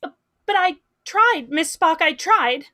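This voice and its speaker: A woman's voice: extremely high pitch, animated, slow, sharp tone, full character voice. A woman playing a timid, flustered female transporter technician in a sci-fi fan audio drama, hesitant and pleading. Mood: frightened, pleading.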